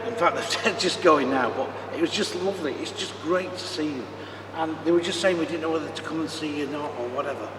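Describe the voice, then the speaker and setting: A British man with a cold-roughened, croaky voice chats casually to camera, trailing off into ums and restarts. He films himself in the foyer of a large exhibition hall. Constant background noise from the venue sits clearly under his voice. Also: a little high, fast talker. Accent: British English. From United Kingdom. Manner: chatty, rambling, with many ums. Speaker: vlogger. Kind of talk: casual walk-and-talk vlog.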